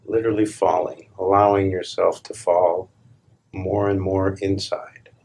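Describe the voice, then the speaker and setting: Male meditation teacher, very slow, even intonation, short calm phrases, long pauses. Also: deep voice, vocal fry, husky, poor audio. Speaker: spiritual teacher leading a guided meditation. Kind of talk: live guided meditation, slow hushed instruction.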